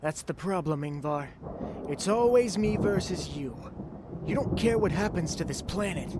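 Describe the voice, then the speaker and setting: An honorable samurai lord. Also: male voice, high voice, moderate pace, very noisy. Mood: earnest. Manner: earnest, pleading, steady. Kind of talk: fantasy audio drama.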